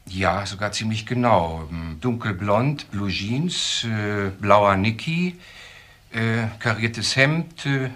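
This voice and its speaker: A man's voice: lowish voice, animated, takes it slowly, a bit bright. A man playing a polite, precise professional in a German crime radio drama, speaking formally and carefully. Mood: earnest, insistent.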